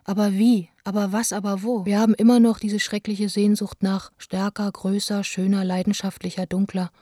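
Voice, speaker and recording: An actress plays a young female theatre director in a radio play, frustrated yet defiant. Her voice is mellow and very evenly pitched, in a dry, close recording. She confides her letters in a subdued, literate way. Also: level tone, moderate pace, no room sound, natural voice. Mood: weary, yearning. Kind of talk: radio play, letters read as intimate monologue.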